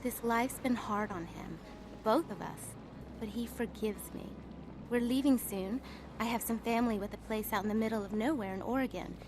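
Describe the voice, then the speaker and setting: An actress in a video game playing a gentle, weary young woman devoted to her lover. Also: on the high side, moderate pace, noisy background, close to an everyday voice. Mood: tender, hopeful.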